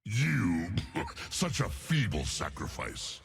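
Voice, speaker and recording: Gravelly, deep, menacing ancient bear god. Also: male voice, sing-song, a bit bright, heavily acted. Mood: scornful. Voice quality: deep growling baritone. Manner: grand, slow, threatening declamation. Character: ancient, savage bear demigod, male, menacing and contemptuous. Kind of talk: video game character voice lines.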